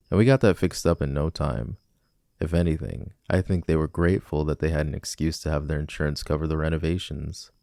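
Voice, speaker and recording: A man's voice: a bass voice, vocal fry, warm tone, dry recording, exaggerated voice. A man playing a cocky, flirtatious villain in an ASMR romance roleplay, teasing and casually persuasive, close on the mic. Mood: playfully defensive. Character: flirty, cocky male villain smitten with a civilian.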